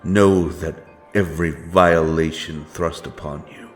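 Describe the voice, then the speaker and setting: A man playing a grim, righteous wandering avenger in a dark fantasy audio drama, speaking in a solemn, archaic and deliberate way. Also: a bass voice, fairly even intonation, soft background music. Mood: commanding, vengeful.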